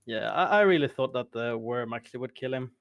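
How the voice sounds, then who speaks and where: Swedish-accented man, easygoing guest, gravelly tone, dry close sound. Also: medium pitch, expressive, fairly quick, a bit bright, no echo. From Sweden. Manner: laughs often, modest and easygoing. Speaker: interviewed tournament winner. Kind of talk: casual interview.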